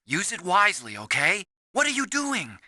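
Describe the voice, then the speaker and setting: Casting: timid, kindly young scientist in a video game. Sharp, lively, dry close recording, earnest delivery. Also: male voice, high voice, normal speed, no room sound, full character voice. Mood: brisk. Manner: earnest, anxious, explanatory. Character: earnest, timid young male scientist, soft-hearted and nerdy.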